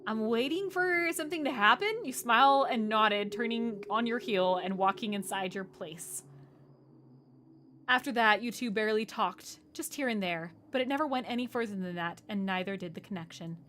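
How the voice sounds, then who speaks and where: Bright, animated hoarse woman streamer. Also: a little high, moderate pace, slight background noise. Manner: animated, exasperated, laughing reactions. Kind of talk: live game commentary and reading aloud.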